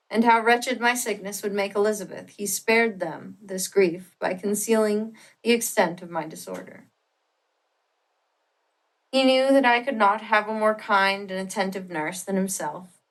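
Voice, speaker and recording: A female audiobook narrator with a mid-pitched voice reads formal literary prose. Her delivery is measured and expressive, with a dramatic storytelling feel. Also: poor audio.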